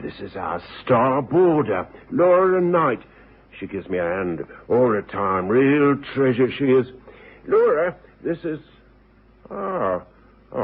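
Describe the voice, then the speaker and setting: An actor playing a kindly, worried older English boarding house keeper who stammers hesitantly in a radio drama. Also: male voice, mid-range voice, breathy edge, exaggerated voice. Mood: friendly, bustling. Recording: tinny old radio broadcast.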